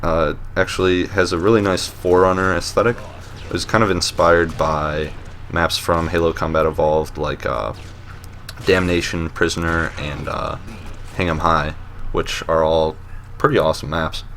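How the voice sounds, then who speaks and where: A man with a very deep, low voice talks over gameplay footage as the host of a showcase series. He rambles in a relaxed, loose way, full of fillers and restarts, with a dry, self-mocking humor. A faint hiss sits under the voice. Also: moderate pace. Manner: dry, joking self-mockery.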